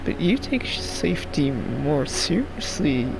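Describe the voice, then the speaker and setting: A man's voice: a little high, big pitch swings, unhurried, creaky, heavily acted, low-quality recording. A man voicing a nervous, childish, unsettling misfit from a game, with a jittery, giggling manner. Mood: nervous, creepy. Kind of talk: amateur game character voicing. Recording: music under the voice.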